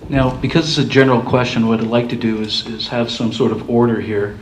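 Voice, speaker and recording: A man moderates a public candidates' forum and calls each speaker in a measured, procedural way. He is heard from a distance in an echoey hall. Noise runs under his voice. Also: medium pitch, level tone. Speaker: debate moderator.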